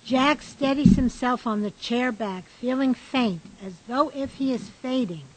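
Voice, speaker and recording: A woman's voice: high voice, unhurried, low-quality recording. A woman reading stage directions as scripted narration for a radio drama.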